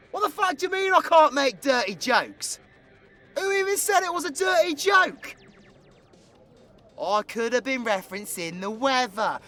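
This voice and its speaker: A man's voice: sits high, sharp tone, heavily acted. A British man playing a brash, foul-mouthed supervillain with a teasing, slangy manner in an audio roleplay. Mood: teasing, cocky. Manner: slangy, cocky, swearing. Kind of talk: villain audio roleplay, spoken to the listener.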